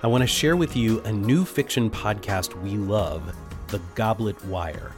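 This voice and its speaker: A man's voice: on the low side, a little slow, faint music behind. A man reading a scripted podcast promo as its host. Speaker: podcast host and network co-founder.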